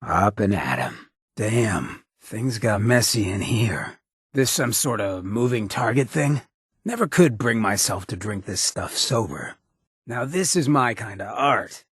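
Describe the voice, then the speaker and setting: An actor voicing a roguish, wry ghoul mayor in a video game, with a raspy voice and a sly, streetwise, swaggering drawl. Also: male voice, lowish voice, expressive, measured pace, fairly bright tone, no echo, clean studio sound, full character voice. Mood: sardonic. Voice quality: raspy, gravelly. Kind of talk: video game character dialogue.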